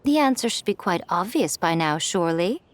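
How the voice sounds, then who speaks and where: Woman playing a haughty young woman, animated intonation. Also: lowish voice, moderate pace, a little hiss or hum, lightly acted. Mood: assured. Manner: haughty, articulate, formal. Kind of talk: video game voice acting.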